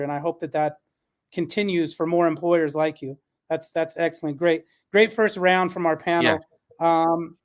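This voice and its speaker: A man hosting a panel discussion, speaking in an encouraging, affirming manner. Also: on the high side. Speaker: panel moderator. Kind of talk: moderating an online panel discussion.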